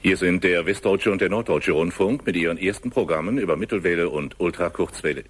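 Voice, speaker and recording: A man's voice: low-pitched, fairly quick, slightly rough. A man making a formal, old-fashioned radio station announcement.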